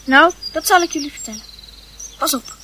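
A woman playing a cocky schoolboy in a radio play, eager to tell secrets in a boastful, halting way. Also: sits high, lively intonation, pushed and bright, a little hiss or hum.

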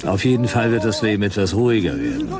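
A man's voice: fairly low pitch, very animated, fast. An actor in a German radio drama playing a world-weary male detective with a dry, laconic manner. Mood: wry, weary.

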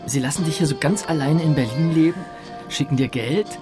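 A man's voice: a little high, fairly quick, close to an everyday voice. A man playing a reserved, curious young friend in a German radio drama, speaking in a hesitant, inquisitive and slightly awkward way. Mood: probing, concerned. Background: music.

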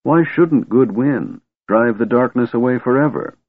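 A man's voice: medium pitch, unhurried, husky, no room sound, voice pushed up. A man voicing an earnest young elven woman who questions why good cannot win. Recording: tinny phone-like dry recording.

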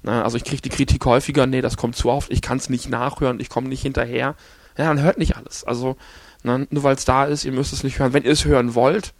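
A man hosting a podcast on his own, hoarse after an illness, rambling along with many fillers and restarts. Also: mid-range voice, little pitch movement, fast talker, no echo. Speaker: podcast host. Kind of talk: casual solo podcast talk.

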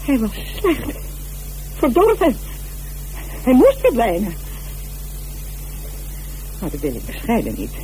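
A woman's voice: a little high, huge pitch swings, takes it slowly, long pauses, warm tone, a little hiss or hum, full character voice, low-quality recording. An actress playing a defensive, self-righteous wife and mother, in radio drama style. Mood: desperate, defiant. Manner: haughty, insistent, breaking into agitated self-justification.

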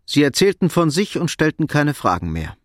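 A man narrating a radio drama in a measured, understated, literary style. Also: fairly high pitch, very animated, no room sound, clean studio sound.

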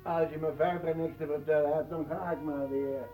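Casting: man as absent-minded grumbler, dark soft-edged voice, offhand muttering, radio play. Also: a little high, steady tone, moderate pace, soft background music, natural voice, poor audio. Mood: vague, grumbling. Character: vague, forgetful, grumbling man. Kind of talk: radio drama acting.

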